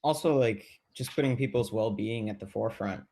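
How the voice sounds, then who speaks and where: A man playing a thoughtful, caring amateur baker in documentary theater, talking casually and haltingly. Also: lowish voice, dark tone.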